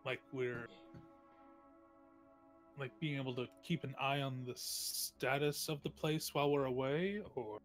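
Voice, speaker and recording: Male tabletop player, mid-range voice, halting casual roleplay, soft music behind. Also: medium pitch, steady tone.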